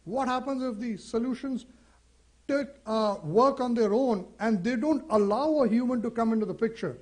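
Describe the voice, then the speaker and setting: A man's voice: extremely high pitch, moderate pace. A man with an Indian English accent giving a conversational conference talk, posing rhetorical questions to the audience. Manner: conversational, poses rhetorical questions, says "you know". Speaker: seminar speaker.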